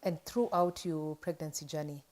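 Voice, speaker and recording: A woman's voice: low-pitched, fairly even intonation, moderate pace, a little mellow. A woman interviewing a guest in a conversational style, asking follow-up questions.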